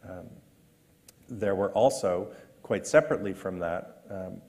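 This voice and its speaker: A man from Canada speaking as a panelist, measured and fond of rhetorical asides. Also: sits low, a little mellow. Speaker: panelist on elections. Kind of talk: panel discussion. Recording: long reverb of a hall.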